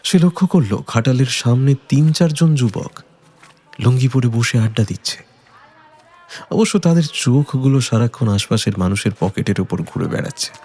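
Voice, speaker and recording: A man giving a dramatic, suspenseful scripted narration of a detective story in a measured way. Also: medium pitch, expressive, mellow, slightly rough.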